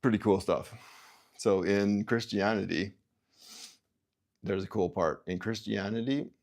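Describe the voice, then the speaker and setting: A man from Canada hosting a livestream, musing aloud in halting, self-interrupting sentences and reading out viewer comments. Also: on the low side, fairly even intonation, a little mellow. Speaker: livestream host. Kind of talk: rambling livestream chat.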